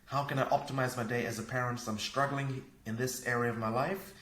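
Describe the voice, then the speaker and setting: A man from Fiji, a parenting coach and podcast guest, talking in an energetic, upbeat way. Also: medium pitch, brisk. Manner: energetic, upbeat, laughs easily. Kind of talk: casual conversation. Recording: echoey room.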